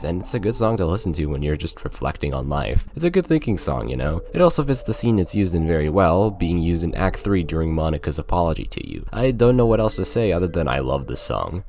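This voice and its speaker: A man's voice: deep voice, darkish tone. A young man narrating a casual video review in an informal, conversational, slangy way. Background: music.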